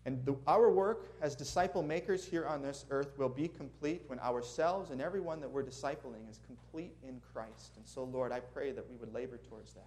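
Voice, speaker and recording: A man's voice: mid-range voice, a little fast, few pauses, a little mellow. A man from Minnesota with a Minnesotan accent, preaching a sermon to a congregation in a church, earnest and friendly with chuckling asides. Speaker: guest preacher. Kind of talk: sermon with prayer and storytelling. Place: church sanctuary before a congregation.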